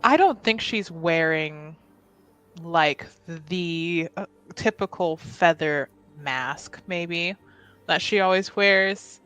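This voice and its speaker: A woman's voice: on the low side, slow pace, a bit bright, clean tone, poor audio. A woman playing a tabletop roleplaying game, casually improvising narration for her character and hesitantly thinking aloud.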